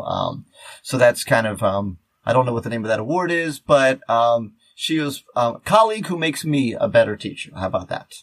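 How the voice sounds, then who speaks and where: A man, a teacher, co-hosting a podcast in a casual, rambling and halting way. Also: mid-range voice. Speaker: podcast co-host.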